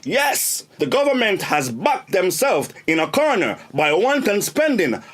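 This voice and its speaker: High, animated Jamaican minister. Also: male voice, unhurried, heavily acted. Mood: firm, urging. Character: worried, cautioning male government minister. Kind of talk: radio drama acting.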